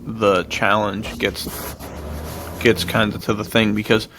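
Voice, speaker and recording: A man's voice: lowish voice, level tone, slow pace, noisy background. A man co-hosting a podcast, talking through films in a laid-back, slangy, casual way. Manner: laid-back slangy talk, laughs easily. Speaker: podcast co-host. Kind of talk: casual film discussion, chatty retelling of plots.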